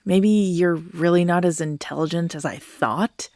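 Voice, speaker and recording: A woman's voice: lowish voice, a little slow, darkish tone, no room sound, clean studio sound. A woman playing an obsessive, manipulative kidnapper in an audio roleplay, condescending and exasperated, with sighs and half-whispers. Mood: incredulous, belittling. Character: obsessive, manipulative female kidnapper scolding her captive lover. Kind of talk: scripted yandere horror audio roleplay, intimate monologue.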